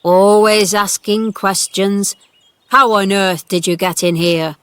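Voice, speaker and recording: Storybook role: woman voicing a cross old gardener, loud and blunt, dry close sound. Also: medium pitch, steady tone, takes it slowly, clear, resonant tone, dry recording. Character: cross old male gardener, gruff but tender. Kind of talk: storybook character voice.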